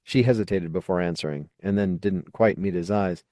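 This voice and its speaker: A man's voice: low-pitched, brisk, mellow, no room sound, studio quality. A man reading fiction aloud as a scripted audiobook narration.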